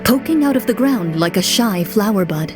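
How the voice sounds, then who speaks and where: A woman playing a composed, formal young huntress who narrates as game master in a video game, with measured storytelling. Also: medium pitch, lively intonation, lightly acted. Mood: dramatic. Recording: music behind the voice.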